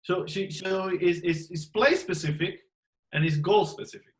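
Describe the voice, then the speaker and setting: A man's voice: sits high, normal speed. A man, a dentist who teaches courses, giving advice on a panel, emphatic and asking rhetorical questions while repeating words as he goes.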